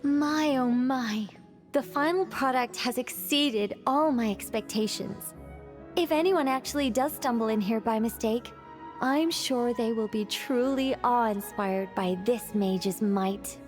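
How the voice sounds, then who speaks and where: Woman voicing an ageless, high-voiced mage over music. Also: a little slow, natural voice. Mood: playfully proud. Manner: theatrical, musing, self-assured. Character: ageless female mage, fallen angel, wry, wistful storyteller. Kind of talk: scripted game cutscene dialogue. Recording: background music.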